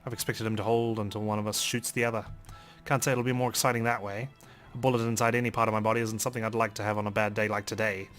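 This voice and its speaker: A man's voice: fairly low pitch, level tone, quick pace, fairly bright tone, soft background music. A man narrating a visual novel in the first person, with a theatrical, wry delivery. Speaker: let's play narrator.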